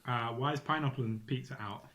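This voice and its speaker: Man, podcast co-host, easygoing, wry deadpan jokes, mid pitch. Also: a little fast. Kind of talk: casual banter podcast.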